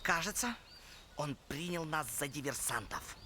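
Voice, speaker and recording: An actor voicing a grumbling, sarcastic talking cat in an animated film, sardonic and muttering. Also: medium pitch, a little slow, a little hiss or hum.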